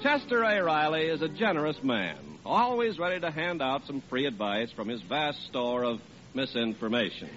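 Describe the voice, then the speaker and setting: A man's voice: a little high, lively intonation, moderate pace. A man announcing in scripted 1940s radio style, emphatic and formal. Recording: muffled old radio recording, music behind.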